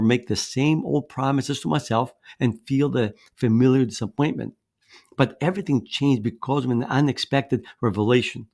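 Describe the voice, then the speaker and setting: A man's voice: mid-range voice, darkish tone. Greek-raised man giving a motivational talk. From Greece. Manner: persuasive, storytelling, with occasional restarts. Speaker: fitness coach presenter. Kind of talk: motivational presentation.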